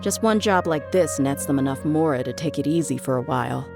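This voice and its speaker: A woman's voice: low-pitched, fairly quick, gravelly, over music. A woman playing a tough, streetwise, blunt female mercenary in an anime video game. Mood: blunt, wry. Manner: blunt, casual.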